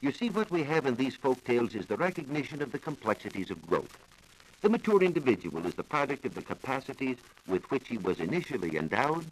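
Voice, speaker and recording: An American man giving a scripted science talk on 1940s radio, explaining in a measured, formal manner. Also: mid-range voice, a bit bright, poor audio. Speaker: science reporter explaining research. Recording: old 1940s radio broadcast recording.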